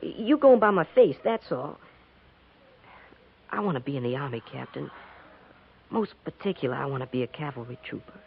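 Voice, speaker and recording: An actor playing an earnest, pleading young frontier farm boy with a rural American accent and a halting, folksy manner in a 1950s radio western. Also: mid-range voice, animated, creaky, slight background noise. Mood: defensive, insistent. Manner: halting, folksy, stammering when pressed. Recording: dull 1950s radio recording.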